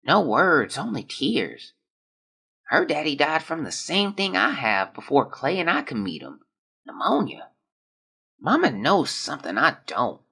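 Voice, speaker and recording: Performer as frail yearning teen boy, bright husky voice, wildly varied pitch, audio drama monologue. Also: huge pitch swings, unhurried, heavily acted. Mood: fading, sad. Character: sickly, dreamy teenage boy writing his journal.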